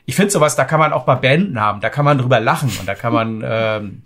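A man's voice: mid-range voice, lively intonation, fast, fairly bright tone. A man co-hosting a music podcast, chatting casually about metal in a rambling, explanatory way.

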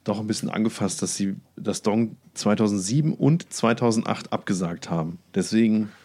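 Male podcast co-host, lowish voice, informal and anecdotal delivery. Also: normal speed, a little mellow. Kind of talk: casual anecdotal chat.

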